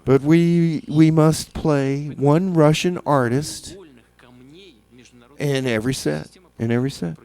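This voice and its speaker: Guy djing on small community radio, rambly and halting, kinda deadpan, lots of repeating himself. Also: a little high. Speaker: community radio music show host. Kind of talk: casual radio DJ chat with deadpan comedy.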